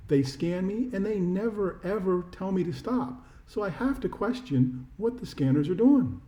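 Mellow middle-aged American man, echoey room. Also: high-pitched, animated, moderate pace. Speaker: podcast co-host. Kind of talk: casual sports chat. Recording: roomy, echoey sound.

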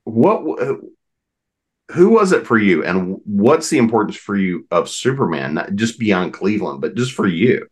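A man's voice: fairly low pitch, big pitch swings, measured pace, breathy edge. A middle-aged man hosting an interview, asking questions in a conversational, thoughtful way. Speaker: podcast host.

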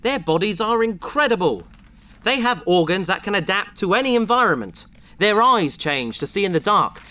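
A man's voice: high voice, sing-song, normal speed, pushed and bright, exaggerated voice. A man playing an earnest, bookish, idealistic space adventurer, explaining with enthusiasm in a sci-fi audio drama. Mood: excited.

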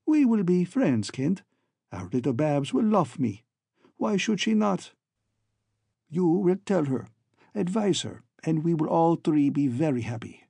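A man's voice: on the high side, lively intonation, unhurried, creaky, dark tone, husky, no room sound, exaggerated voice. A man voicing a falsely friendly, scheming hunchback villain in a story, oily and ironic, with stilted foreign-sounding phrasing. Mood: ingratiating.

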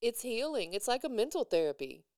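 Smooth-voiced woman hosting an interview. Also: a little high, sing-song, brisk, no room sound, studio quality. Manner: warm, encouraging, affirming. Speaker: interview host. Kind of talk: casual interview.

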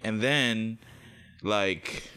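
A young American man of Nigerian parents, telling stories in an unhurried casual chat, full of "like" and acted-out quotes. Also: on the low side, pushed and bright, clean tone. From United States. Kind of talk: casual storytelling among friends.

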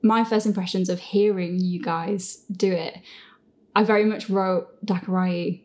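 A woman's voice: on the low side, fairly even intonation, unhurried, a little mellow. Casual, giggly woman hosting a chat. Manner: giggly, informal. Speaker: host and show creator. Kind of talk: casual group Q&A podcast. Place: living room.

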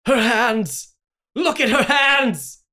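Woman playing an overwrought, anguished husband, forceful and slow. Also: fairly high pitch, very animated, heavily acted. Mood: horrified, frantic. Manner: overwrought, pleading. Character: anguished, guilt-ridden husband in horror melodrama. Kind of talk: campy 1930s radio drama table read.

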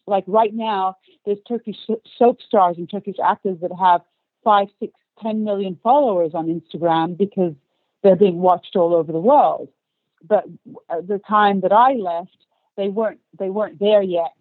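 A woman's voice: mid-range voice, steady tone, normal speed, no room sound. Chatty woman on a tinny line. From Australia. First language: English. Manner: chatty, long run-on answers with light laughs. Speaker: actress guest. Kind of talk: podcast interview.

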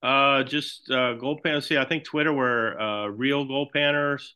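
A middle-aged man, a baseball team manager, answering questions in a relaxed, rambling way and repeating words. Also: medium pitch, unhurried, smooth, highs cut off. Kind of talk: casual interview answers.

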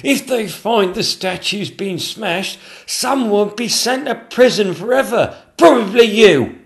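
Panicky storybook courtier: very high, urgent, gasping, wildly swooping. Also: male voice, lively intonation, takes it slowly, creaky, exaggerated voice. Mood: panicked. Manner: gasping, urgent, blaming others. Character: flustered, panicky, blustering male Lord Chamberlain.

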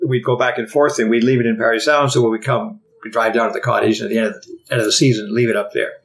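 An older Canadian man being interviewed, rambling and halting with frequent restarts. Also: medium pitch, flat delivery, a bit bright. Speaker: podcast guest. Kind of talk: casual interview conversation.

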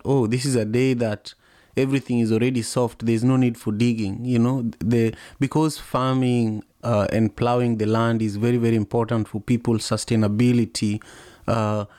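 A man's voice: mid-range voice, mellow, dry recording, clean studio sound. A man with an East African accent, a native Luo speaker, hosting a reflective group discussion in a conversational way. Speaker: host of an online audio discussion.

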